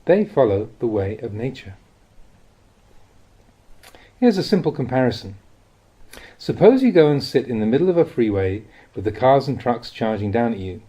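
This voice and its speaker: A man reading spiritual teachings as scripted narration, in a measured, instructive way with deliberate phrasing. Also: mid-range voice, sing-song, dark tone, husky. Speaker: reader of Buddhist teachings.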